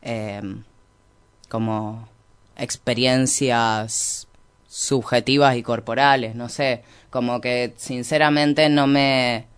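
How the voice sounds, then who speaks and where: A man with a Rioplatense Argentine accent and a very clean, resonant voice of medium pitch. He speaks as an interview guest explaining ideas. His delivery is reflective and halting, with hedges and reworded phrases. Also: fairly even intonation, clear, resonant tone. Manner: halting, reflective, frequent hedging and self-correction. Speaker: interview guest, activist and researcher.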